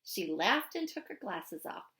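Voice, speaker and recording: A woman reading a storybook aloud in an expressive narrating style. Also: mid-range voice, unhurried. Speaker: storybook reader.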